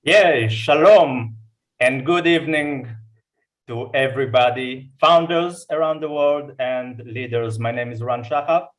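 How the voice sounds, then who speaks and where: A man's voice: mid-range voice, measured pace. A man with an Israeli accent giving an emphatic testimonial on a video call. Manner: emphatic, non-native phrasing. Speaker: webinar participant. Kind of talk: enthusiastic testimonial on a webinar. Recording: distant mic on a video call.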